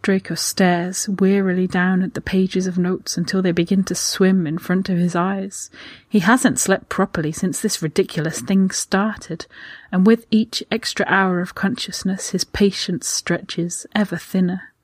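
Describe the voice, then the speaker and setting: A woman's voice: on the low side, steady tone, unhurried, dry recording, clean studio sound. A woman reading scripted fiction aloud as a story narrator. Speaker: audiobook-style fan fiction reader.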